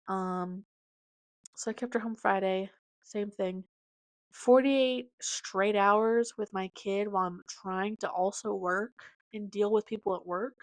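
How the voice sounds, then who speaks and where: A woman's voice: mid-range voice, slow pace, no room sound. Chatty twenty-something woman, dry close sound. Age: 20s. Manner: chatty, animated, hops between topics with slang. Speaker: podcast host. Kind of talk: casual personal update monologue.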